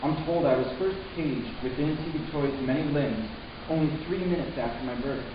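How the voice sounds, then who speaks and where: A man playing a reflective young son who narrates his family's past in a measured, literary dramatic reading. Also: medium pitch, muffled recording, background noise, close to an everyday voice. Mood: matter-of-fact. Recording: echoey, distant, music underneath. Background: music.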